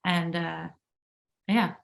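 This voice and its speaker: A woman musician from Denmark answering interview questions in a relaxed, thoughtful way. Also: lowish voice, very slow, vocal fry. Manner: relaxed, thoughtful, trailing off and restarting.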